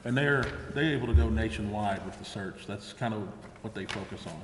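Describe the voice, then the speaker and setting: A man's voice: on the low side, a little fast. A man chairing a council meeting, moving between formal procedure and plain discussion. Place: large indoor council chamber. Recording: echoey hall with long reverb, faint noise.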